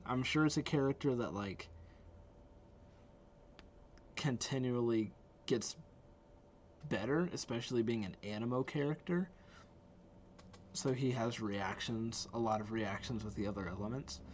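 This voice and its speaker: A man's voice: mid-range voice, measured pace, long pauses, slight background noise. A young man giving casual game reaction commentary, informal and exclamatory as he muses aloud. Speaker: video game reaction host.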